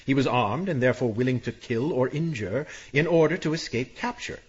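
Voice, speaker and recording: An actor playing an officious police inspector in a radio mystery, matter-of-fact and easily exasperated. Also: male voice, mid-range voice, fairly quick, breathy edge, dull audio, close to an everyday voice. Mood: official, reassuring. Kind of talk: radio mystery drama acting.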